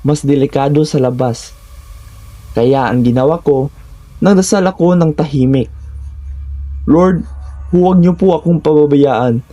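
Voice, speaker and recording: Filipino man narrating horror, mellow voice. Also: fairly high pitch, unhurried, pauses often, dull audio, faint music behind. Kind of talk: scripted first-person horror narration.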